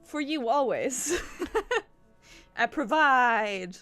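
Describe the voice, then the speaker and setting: Casting: female game streamer, high-pitched, informal and giggly, meandering talk over soft music. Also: lively intonation, very slow. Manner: rambling, giggly, repeats herself. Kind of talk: casual livestream chat.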